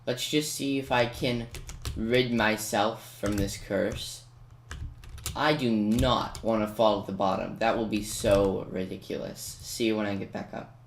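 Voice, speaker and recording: A man's voice: fairly low pitch, fairly even intonation, measured pace, creaky. A man giving casual, self-mocking and playfully boastful commentary over his own gameplay. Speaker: gaming video maker. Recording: roomy, noisy home recording with keyboard clicks.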